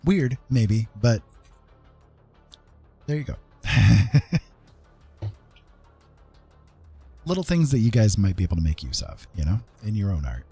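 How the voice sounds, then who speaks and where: A man hosting a casual art livestream, thinking aloud in an unhurried, tentative way and often checking in with listeners. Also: on the low side, lively intonation, dark tone, breathy edge, soft background music. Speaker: livestream host, digital artist.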